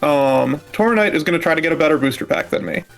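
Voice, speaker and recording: A man's voice: on the high side, lively intonation, fast, gravelly, soft background music. A man competing in a speedrun, casually explaining the game as it goes on.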